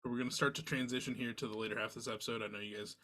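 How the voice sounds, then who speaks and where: A man's voice: medium pitch, fairly even intonation, very fast, pushed and bright. A man hosting a podcast, chatting with a band in an eager, filler-heavy way. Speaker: podcast host. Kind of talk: casual interview chat.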